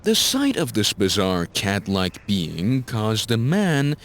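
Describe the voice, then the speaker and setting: A man's voice: lowish voice, wildly swooping pitch, slow pace. A man narrating a scripted fantasy story as a dramatic audiobook-style narrator.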